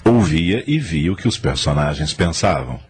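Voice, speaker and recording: A man delivers scripted, dramatic radio narration. His voice is fairly low and swings widely in pitch, with strong music running behind it. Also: expressive, normal speed, husky. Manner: dramatic storyteller.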